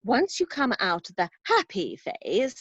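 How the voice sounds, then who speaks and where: A woman's voice: fairly high pitch, very animated, measured pace, husky, no room sound, heavily acted. A woman playing a reassuring, businesslike company saleswoman in a scripted drama read, recorded over a slightly dull video call. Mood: reassuring, professional.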